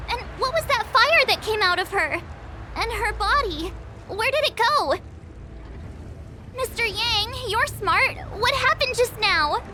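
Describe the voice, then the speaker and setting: A woman playing an excitable, blunt, curious young girl in a video game, asking bewildered questions. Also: very high voice, heavy background noise. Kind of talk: animated video game acting.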